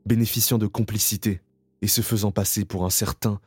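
A man playing a grown survivor who narrates his memories in a slow, reflective, somber way. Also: fairly low pitch, fairly even intonation, darkish tone, husky, no echo. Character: grown male camp survivor recalling his past. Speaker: first-person narrator. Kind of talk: scripted radio drama narration.

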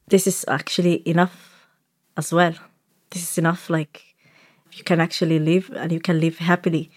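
A young woman filmmaker whose first language is Arabic, telling her own story in a reflective way, often pausing on "like" and restarting. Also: fairly low pitch, a little mellow. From Saudi Arabia. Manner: reflective, with frequent "like" fillers and restarts. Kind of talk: personal storytelling. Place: radio studio.

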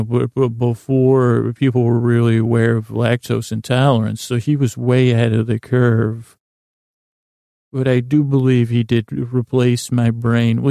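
A man hosting a bedtime podcast in a meandering, halting, gently silly and self-deprecating monologue that drifts into tangents. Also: mid-range voice, flat delivery, creaky, dry recording, clean studio sound. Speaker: podcast host.

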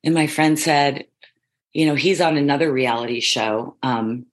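A middle-aged woman with a notably deep, husky voice talks through an interview in long, looping sentences. She is emphatic and self-affirming, stacking one thought onto the next. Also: normal speed. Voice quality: low, husky voice. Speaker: reality show contestant guest. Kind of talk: casual interview chat.

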